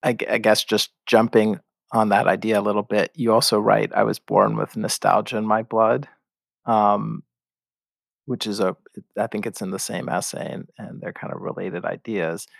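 Male podcast host, gravelly and slightly husky, dry close sound. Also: on the low side, flat delivery, moderate pace, no room sound. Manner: hesitant, with restarts. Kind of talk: interview questions.

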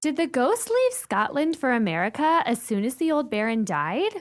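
A woman's voice: high-pitched, very animated, moderate pace. A woman playing a curious, easily astonished listener to a ghost tale in a radio play, theatrical and inquisitive.

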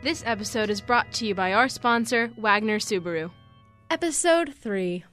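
A woman announcer with a medium-pitched voice reads scripted credits. Guitar music plays softly behind her. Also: expressive, moderate pace.

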